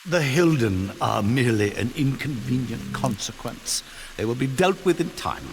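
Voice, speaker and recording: A man's voice: medium pitch, expressive, moderate pace, lightly acted. An actor playing a scheming, haughty male sorcerer in a video game, pompous and theatrical. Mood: smug. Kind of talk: dramatic video game voice acting. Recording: long hall reverb, some noise.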